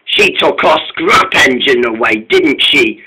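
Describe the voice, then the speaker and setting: A man voicing a grumpy, bossy male diesel engine in a read-aloud story, talking gruffly and slowly. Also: mid-range voice, lively intonation, background noise, natural voice. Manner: gruff and slow, grumbling.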